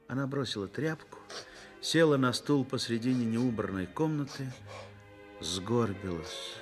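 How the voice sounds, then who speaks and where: A man narrating a Soviet radio drama in a measured, dramatic storytelling manner. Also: mid-range voice, over music.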